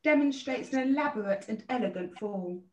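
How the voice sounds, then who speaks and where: Higher-pitched woman narrator, echoey and distant. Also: animated, fairly quick, darkish tone. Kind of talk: reading stage directions, scripted narration.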